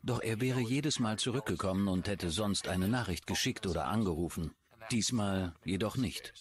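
Actor as male investigator, standard German, lowish voice, recounting a case for documentary dub. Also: normal speed, close to an everyday voice. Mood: matter-of-fact. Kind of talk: dubbed documentary interview.

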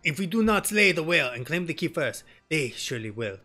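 A man's voice: fairly high pitch, very animated, fairly quick, bright, forceful tone, faint music behind, heavily acted. A man voicing an earnest, courteous young elf nobleman with polite, formal speech in a fantasy game. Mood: earnest, thoughtful.

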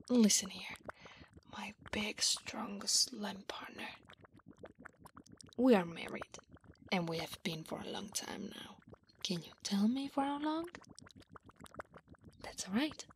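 A woman voicing an affectionate, teasing, clingy slime wife in a soft, half-whispered ASMR roleplay. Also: on the high side, a little hiss or hum. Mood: tender, teasing. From Italy. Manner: soft, breathy half-whisper, intimate, coaxing.

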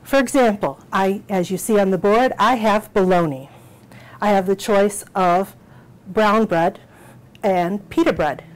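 A middle-aged woman hosting a cooking show from a small home kitchen, explaining each step in an encouraging, unhurried way. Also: mid-range voice, poor audio.

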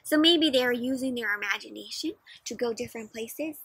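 A young woman doing an expressive, interactive picture-book read-aloud for children, putting questions to the listener. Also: a little high, moderate pace, breathy edge, no room sound. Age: young adult. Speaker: children's story reader. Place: outdoors in a backyard with play structure.

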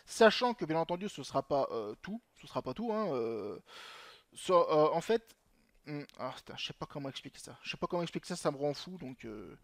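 A man's voice: fairly high pitch, very animated, brisk, dry recording. A young man commenting on his gameplay in a chatty way, swearing often and talking to himself. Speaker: gaming channel host.

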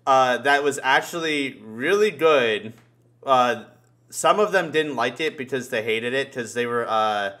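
A young man in casual conversation, joking and swearing freely in an animated way. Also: mid-range voice. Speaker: podcast host. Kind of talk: casual chat. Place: indoor room on a group video call.

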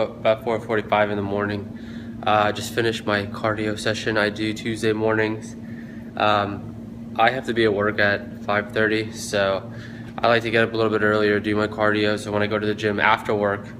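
A young man talking casually into a phone camera he holds at arm's length, chatting about his fitness routine and food prep in a relaxed, motivational way. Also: lowish voice, noisy background. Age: young adult. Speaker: fitness vlogger. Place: condo gym, then home kitchen.

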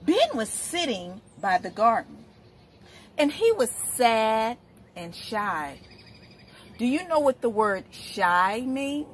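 Middle-aged female storytime reader, animated and highish, question-led delivery for little kids, light outdoor background noise. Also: slow. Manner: expressive, asking questions as if to small children. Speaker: storytime host, literacy educator. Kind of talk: read-aloud picture book storytime for young children. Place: outdoors, in front of leafy shrubs.

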